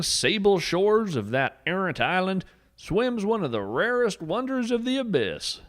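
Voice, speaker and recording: A man performs an eccentric, folksy naturalist hosting a storytelling podcast. His somewhat high voice rises and falls with great animation. He treats everything with earnest wonder and tongue-in-cheek theatrical grandeur. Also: fairly bright tone, heavily acted. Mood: mysterious relish. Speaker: podcast host. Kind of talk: theatrical scripted storytelling narration.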